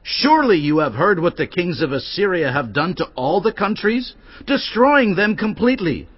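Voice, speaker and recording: A man's voice: high voice, sing-song, moderate pace, slightly rough, no echo, heavily acted. An actor playing the Assyrian king's messenger, speaking with arrogant taunts. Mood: haughty. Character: haughty messenger of the Assyrian king. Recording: dry, dull close recording.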